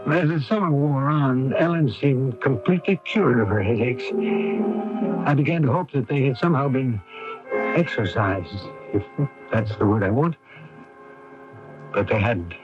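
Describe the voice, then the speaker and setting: A man's voice: lowish voice, lightly acted. An actor playing an anxious, reticent middle-aged father in a radio drama, hesitant and pressing, stammering at times. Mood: reserved, reflective. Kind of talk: radio drama acting with first-person narration. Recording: dull noisy AM radio broadcast recording.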